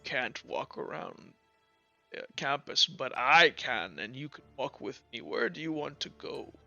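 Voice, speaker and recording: A man voicing an easygoing old campus gardener in a tabletop game, plain-spoken and halting. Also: medium pitch, sing-song, gravelly, sharp tone, no echo, lo-fi sound, soft background music, heavily acted. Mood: mild, halting. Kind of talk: game master acting a character in a tabletop game.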